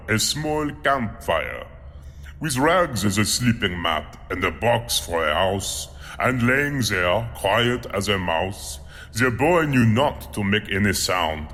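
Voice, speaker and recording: A man's voice: lowish voice, a bit bright. A man playing a huge, courteous cannibal monster in an audio drama, earnest and proud, with formal, theatrical delivery. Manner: formal, polite, theatrical verse recital. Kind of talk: audio drama acting with poetry recital. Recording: long hall-like reverb.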